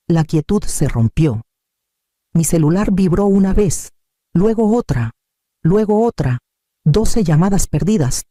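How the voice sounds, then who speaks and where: A man reading a scripted first-person dramatic story in measured, deliberate short phrases. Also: fairly high pitch, mellow, dry recording, clean studio sound. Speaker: story narrator.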